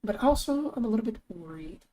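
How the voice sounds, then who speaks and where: A young woman talks her way through her work on a live stream, casual and chatty, thinking aloud. Her voice sits fairly high but sounds mellow and a little lower than usual today. Now and then she bursts into high, excited greetings. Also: fast. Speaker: streamer and artist. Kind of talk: casual live-stream chat while working.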